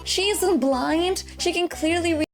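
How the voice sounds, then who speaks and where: A woman's voice: extremely high pitch, unhurried. A woman VTuber narrating a scripted video, addressing her viewers in a chatty way. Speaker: VTuber and content creator. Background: background music.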